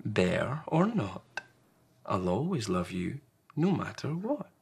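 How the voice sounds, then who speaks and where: A man's voice: lowish voice, sing-song, slow, vocal fry, breathy edge, full character voice. A man voicing a big, patient, loving parent fox in a storybook, tender and reassuring.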